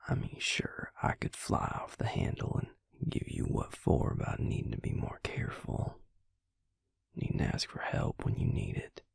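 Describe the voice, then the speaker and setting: A man playing a protective, devoted vampire lover in an intimate romantic audio roleplay, speaking gently and unhurriedly in a husky, breathy near-whisper. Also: moderate pace, dry recording, clean studio sound, close to an everyday voice. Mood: tender, protective.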